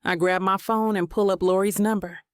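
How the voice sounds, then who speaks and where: A woman's voice: medium pitch, steady tone, brisk, clean tone, dry recording. Woman narrating romance, very dry close sound. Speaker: audiobook narrator. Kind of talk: scripted first-person romance narration.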